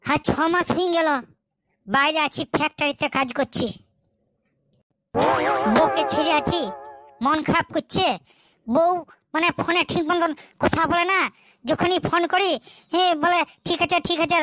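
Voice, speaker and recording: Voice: very high voice, fairly even intonation, measured pace, long pauses, bright, forceful tone, no room sound, faint music behind, full character voice. A performer voicing a hapless, gullible village husband in a comic cartoon, whining and hesitant. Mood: glum.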